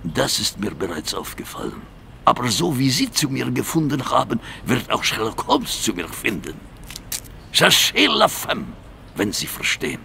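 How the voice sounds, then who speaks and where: An actor playing a grandiose, obsessive mad surgeon in an audio drama, halting and theatrical, menacing and fond of repeating his own phrases. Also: medium pitch, wildly swooping pitch, gravelly, sharp tone, a little hiss or hum, exaggerated voice. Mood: sinister, courteous. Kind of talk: German audio drama acting.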